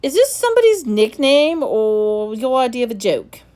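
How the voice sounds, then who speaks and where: A woman's voice: on the high side, big pitch swings, moderate pace, a little mellow, voice pushed up. A woman voicing a stern middle-aged male school headmaster who speaks in a commanding, clipped way.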